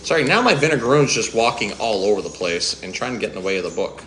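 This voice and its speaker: A man's voice: lowish voice, big pitch swings, fast, roomy sound, noisy background, voice pushed down. A man reading aloud as a grumpy, jealous male goblin who complains indignantly. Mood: grudging.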